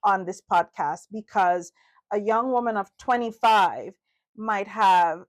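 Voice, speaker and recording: A middle-aged woman from Trinidad and Tobago with a Trinidadian accent, co-hosting a podcast in an animated, chatty way full of "you know" asides. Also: medium pitch, slow. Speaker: podcast co-host. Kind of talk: casual chat.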